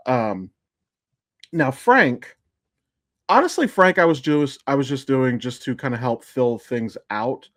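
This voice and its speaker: A man's voice: medium pitch, lively intonation, slow pace, fairly bright tone, no room sound, studio quality. A man chatting casually as a podcast guest or co-host, telling a story in a rambling, digressive way. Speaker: podcast co-host and amateur voice actor. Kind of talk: casual chat.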